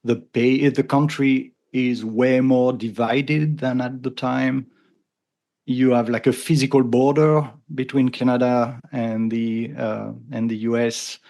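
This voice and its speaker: Gravelly Frenchman speaking earnestly. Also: mid-range voice, level tone, slow, mellow. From France. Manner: earnest, thoughtful, frequent restarts. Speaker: podcast guest. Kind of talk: casual conversation.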